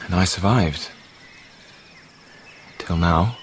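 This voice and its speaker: A British actor playing a weary, reflective deposed Roman emperor in a radio drama, speaking in a measured way. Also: male voice, deep voice, a little hiss or hum. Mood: reflective, resigned.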